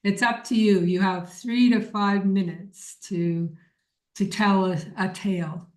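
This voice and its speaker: A woman's voice: mid-range voice, fairly even intonation, measured pace, a little mellow. A woman leading an informal online workshop, answering questions in an encouraging, emphatic way. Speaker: workshop leader. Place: indoor room on a video call. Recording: echoey room.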